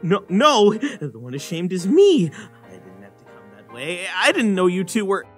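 A man's voice: sits high, huge pitch swings, a little slow, a bit bright, soft background music, heavily acted. A man voicing a shy, nervous young male hedgehog fanboy in a comic dub, with a jittery, flustered manner.

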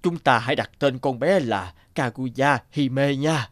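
A man voicing a gentle, earnest old bamboo cutter and loving father in a children's cartoon. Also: mid-range voice, measured pace, no room sound, clean studio sound, close to an everyday voice. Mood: amazed, happy. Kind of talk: Vietnamese children's cartoon dubbing.

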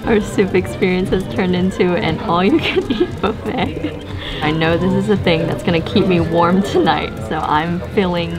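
A woman's voice: lowish voice, moderate pace. Bubbly, giggly young woman vlogging. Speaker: travel vlogger. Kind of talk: casual travel vlog chat. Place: cozy restaurant and camper van. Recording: background music under voice.

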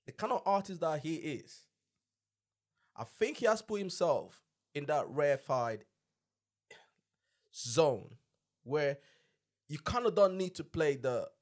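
Man, thirties, fairly high voice, emphatic slangy podcast host, close mic. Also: animated, slow, long pauses. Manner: emphatic, slangy, repeats tags like "you know what I'm saying". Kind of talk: casual podcast chat. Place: small indoor home-style podcast studio. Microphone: close boom-arm podcast microphone with headset.

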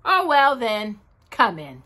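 A woman's voice: a little high, sing-song, slow pace, sharp tone, exaggerated voice. A woman voicing a fussy, careful, polite male rabbit in a storybook reading, prim and proper.

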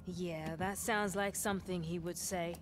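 A woman's voice: on the low side, fairly even intonation, measured pace, background noise, lightly acted. A woman playing a tough, wry supersoldier commander in a video game, with a dry, confident, commanding delivery. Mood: grave, measured. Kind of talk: video game cutscene acting.

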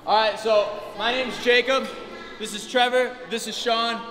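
A young man hosting a stunt show in a school gym, talking loudly and upbeat as he coaxes the children. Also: extremely high pitch, steady tone, measured pace, very echoey, big-room sound, distant mic, faint music behind. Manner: loud, upbeat, coaxing the kids. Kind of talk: announcing a live show to a crowd of children. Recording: loud kids' crowd and gym noise. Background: noisy crowd of kids.

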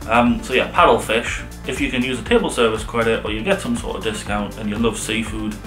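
A man's voice: lowish voice, fairly even intonation, a little fast, reverberant. A middle-aged man, chatting casually and enthusiastically to camera as a vlog co-host. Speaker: vlog co-host reviewing restaurants. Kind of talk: casual sit-down chat to camera. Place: living room sofa, indoors. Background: background music.

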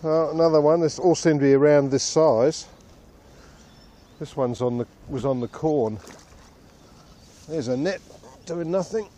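A man's voice: a little high, unhurried, long pauses, mellow, slight background noise. A man chatting and joking with friends while fishing on a city riverbank, filmed on an action camera. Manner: dry, joking banter. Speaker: angler filming the trip. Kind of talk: casual fishing chat.

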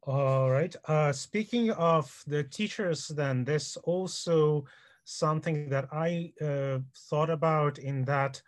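Middle-aged man moderating on a video call. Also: fairly high pitch. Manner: measured questions with fillers. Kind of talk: online Q&A interview. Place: home study with bookshelves, indoors.

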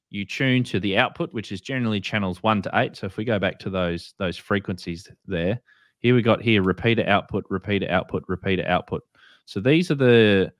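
A man's voice: on the low side, dry recording, clean studio sound. An Australian man in his thirties hosting a casual livestream explainer in an informal, instructive way. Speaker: host of a ham radio show. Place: small indoor room, video call.

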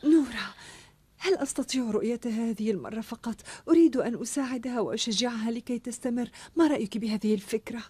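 A woman's voice: fairly high pitch, fast talker, mellow, breathy edge, dry recording. A woman playing a loving, anxious mother in a cartoon drama, speaking tenderly and emotionally. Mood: anxious, pleading. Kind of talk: dubbed cartoon drama acting.

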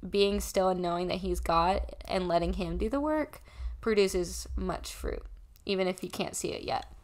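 A woman in her early twenties, a podcast guest, speaking earnestly and reflectively in casual conversation. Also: lowish voice. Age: early 20s. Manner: earnest, reflective, with pauses and restarts. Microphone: desk condenser mic.

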